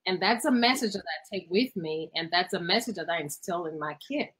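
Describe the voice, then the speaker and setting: A woman's voice: lowish voice, lively intonation, a little fast, a bit bright, breathy edge. A middle-aged woman from Cameroon, a guest in a studio interview, speaking earnestly and motivationally and sometimes repeating words while she searches for them. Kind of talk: conversational interview answer. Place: television studio.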